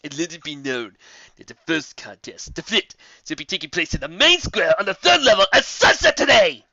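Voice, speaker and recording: Lady playing a pompous bully griffin commander in a story, booming n forceful, big swoops in pitch. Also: wildly swooping pitch, normal speed, dry recording, lo-fi sound, exaggerated voice. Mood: booming, smug. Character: boastful bullying male griffin commander, full-grown.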